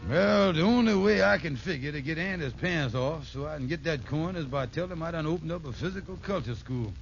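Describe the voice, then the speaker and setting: A man's voice: fairly high pitch, a little fast, gravelly, soft background music. A man playing a scheming, henpecked con-man husband in a 1940s radio sitcom, folksy, wheedling and blustering. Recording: dull, noisy old radio recording.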